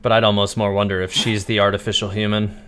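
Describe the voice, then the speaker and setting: A man's voice: on the low side, little pitch movement, quick pace, a bit bright, slight background noise. A man livestreaming a video game, giving casual commentary in a musing, hesitant, speculative way.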